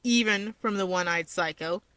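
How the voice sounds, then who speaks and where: Storybook male wizard, played by a woman: gruff, self-assured tease, sharp forceful tone, blunt sardonic delivery. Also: mid-range voice, normal speed, dry recording, pitched below the performer's own voice, natural voice. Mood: bargaining. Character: gruff, cocky, teasing young male wizard. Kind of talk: fan fiction character reading.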